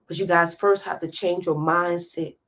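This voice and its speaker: Passionate woman, slightly low voice. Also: steady tone, normal speed, a bit bright. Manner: passionate, preachy, speaks straight to viewers. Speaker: host. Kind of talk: live-stream motivational talk.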